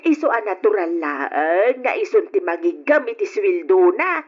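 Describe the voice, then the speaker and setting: A woman's voice: medium pitch, very animated, moderate pace, husky. A woman playing an outspoken, exasperated friend in a radio drama, blunt and emphatic, with a Filipino accent. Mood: exasperated scolding. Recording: dull, lo-fi old radio drama.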